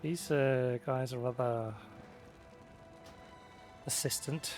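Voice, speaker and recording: A man's voice: medium pitch, fairly even intonation, slow, a little mellow. A man giving casual, understated Let's Play commentary in offhand muttered remarks. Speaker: gaming video creator. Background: game music.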